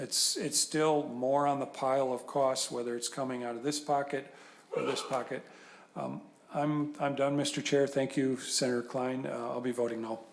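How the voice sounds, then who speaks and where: A man speaking as a state senator in committee debate, plainspoken and halting. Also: medium pitch.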